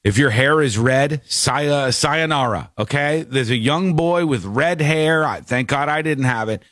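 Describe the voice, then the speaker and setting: A man's voice: medium pitch, measured pace, a bit bright, dry recording, clean studio sound. A man in casual comedic chat, talking bluntly and animatedly, joking with mild swearing.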